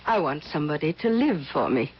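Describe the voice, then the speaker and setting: An actress playing an ailing, overweight, wealthy middle-aged recluse in a radio drama, speaking in a halting, imperious, fussy way. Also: female voice, deep voice, very animated, slight background noise. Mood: haughty, detached. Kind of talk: old-time radio drama acting. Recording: muffled old radio broadcast recording.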